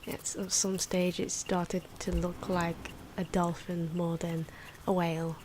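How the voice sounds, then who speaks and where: A soft-spoken woman giving ASMR drawing commentary, often slipping into a breathy whisper, with hesitant, unhurried phrasing. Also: lowish voice, little pitch movement. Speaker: ASMR video creator narrating own drawing. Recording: noisy, pencil scratching and ambient sound. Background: pencil and pen noises, outdoor wind.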